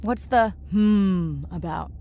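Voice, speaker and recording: An actress playing a warm, wry young woman marine scientist in a naturalistic video game style, earnest and gently teasing. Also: medium pitch, expressive, slow pace, a little hiss or hum. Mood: teasing, defensive. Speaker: diver, researcher and live-stream presenter.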